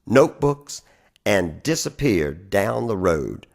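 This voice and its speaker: Male poetry reader, unhurried and widely inflected, theatrical emphasis on deliberately shaped phrases. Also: lowish voice, very animated, gravelly. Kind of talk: dramatic spoken-word poetry recitation.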